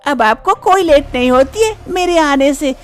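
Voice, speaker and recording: A woman's voice: sits high, animated, normal speed, faint music behind, pitched below the performer's own voice. A woman voicing teasing twin sisters. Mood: playful. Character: young wife and scheming twin sister.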